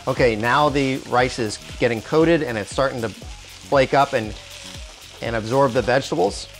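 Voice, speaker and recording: A man in his forties hosts a cooking demonstration in a home kitchen, speaking on a lapel mic. His voice sits in a middle pitch, and he explains each step calmly with small restarts. Faint music and a sizzling wok run underneath. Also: normal speed. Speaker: cooking show host. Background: soft music and sizzling wok.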